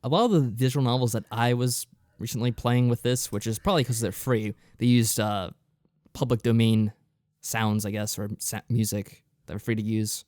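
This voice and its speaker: A man's voice: mid-range voice, fairly even intonation, moderate pace, a little mellow, no room sound. A man co-hosting a podcast in casual chat, giving laid-back replies with dry humour. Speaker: podcast co-host.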